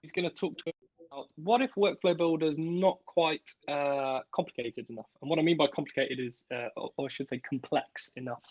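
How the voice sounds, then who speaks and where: A man's voice: on the high side, a little fast, no room sound. A man hosting an online event, introducing speakers in an enthusiastic, chatty way over a video call. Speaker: event host. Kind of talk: hosting a webinar. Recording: dull, dry call audio.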